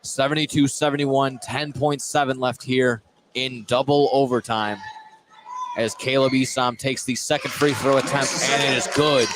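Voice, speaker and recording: A man's voice: medium pitch, steady tone, moderate pace. A man doing live radio play-by-play of a basketball game, often restarting and repeating words for emphasis. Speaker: play-by-play sports announcer. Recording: loud gym noise behind. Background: loud game ambience.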